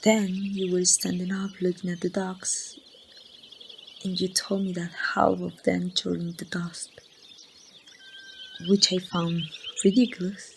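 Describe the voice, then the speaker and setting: A woman playing a grieving young woman confiding in her lost best friend in a halting, wistful ASMR roleplay monologue. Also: lowish voice, flat delivery, creaky, a little hiss or hum. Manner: slow, halting, wistful storytelling. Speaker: amateur voice actor.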